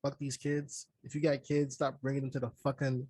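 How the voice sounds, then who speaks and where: A man's voice: medium pitch, monotone, fairly quick, a little mellow, breathy edge, no room sound. A man co-hosting a casual review podcast, speaking bluntly in slang with plenty of swearing. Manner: blunt, profane, slangy griping. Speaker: podcast co-host reviewing a film. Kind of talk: casual chat.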